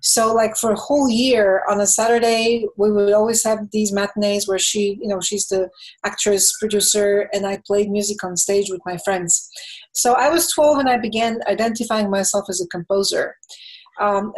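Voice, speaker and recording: A woman's voice: medium pitch, rough recording. Bulgarian-accented woman in her fifties, thoughtful interview guest on a webcam call. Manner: thoughtful, fills pauses with "you know". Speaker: film and game composer, guest. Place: home room with bookshelves. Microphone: webcam on video call.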